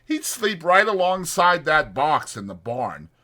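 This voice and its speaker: A man voicing an exasperated but protective ranch hand in a dramatized audiobook, blunt and scolding, with a rough folksy drawl. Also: fairly high pitch, big pitch swings, unhurried, sharp tone, voice pushed up, full character voice. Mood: scolding, firm. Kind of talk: dramatized audiobook character acting.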